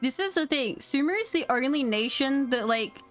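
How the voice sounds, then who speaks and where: A woman's voice: high voice, expressive, moderate pace, a bit bright, soft background music. A woman streaming a video game, chatting casually with her viewers as she plays. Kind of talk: casual live stream commentary.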